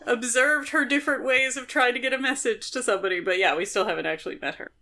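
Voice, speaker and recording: A woman's voice: a little high, fast talker, pushed and bright. A woman co-hosting a podcast, chatting casually and informally about a book. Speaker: podcast co-host.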